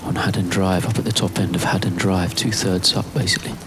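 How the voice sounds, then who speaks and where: A British man giving an intimate spoken-word narration in a hesitant, musing way, in fragmented phrases with pauses. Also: low voice, little pitch movement, noisy background. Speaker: writer-performer narrating his own piece.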